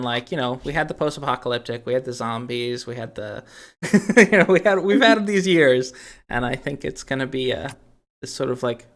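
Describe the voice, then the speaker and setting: A man's voice: fairly high pitch, a bit bright. A man hosting a podcast, chatting casually about games and thinking aloud in a rambling way. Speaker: podcast host.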